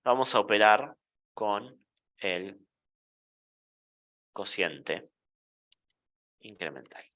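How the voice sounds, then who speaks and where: A male university lecturer talks through math slowly, in a playful manner, pausing to check in with the class. His voice is bright and rises and falls a lot. The classroom recording sounds dull and lo-fi. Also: lowish voice, animated.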